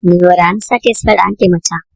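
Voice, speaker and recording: A woman's voice: medium pitch, fairly quick, creaky, no room sound. A woman voicing a bossy, mischievous young boy, a confident, teasing schemer in a comedy cartoon.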